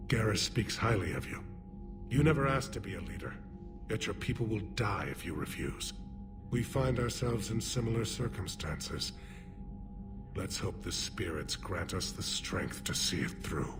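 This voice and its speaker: A man playing a weary but resolute alien military leader in a video game, formal, solemn and measured. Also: on the low side, animated, over music, close to an everyday voice. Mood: solemn respect. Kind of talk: dramatic video game acting.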